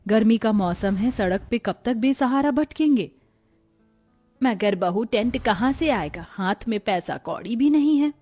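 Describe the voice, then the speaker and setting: Lady acting a poor suffering cartoon mother, plaintive, highish husky voice, faint music. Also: animated, measured pace, warm tone. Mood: pleading. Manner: plaintive, lamenting. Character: poor long-suffering Indian family woman, plaintive, defiant. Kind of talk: Hindi cartoon story acting.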